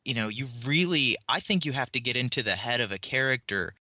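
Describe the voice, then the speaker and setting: A man on a discussion panel, explaining in a casual, animated conversational way. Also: mid-range voice, fairly quick, dry recording. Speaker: podcast panelist.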